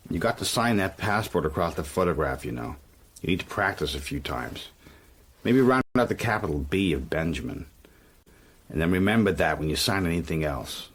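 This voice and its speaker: An actor playing a smooth, pragmatic, protective man in a radio drama, speaking in a controlled, matter-of-fact way. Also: deep voice, animated, moderate pace. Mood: firm, practical.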